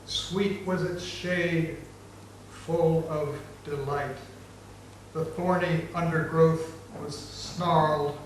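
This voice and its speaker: A man narrating an ancient epic as a dramatic reading, solemn and measured. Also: fairly high pitch, fairly even intonation. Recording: very echoey, distant, noisy room recording.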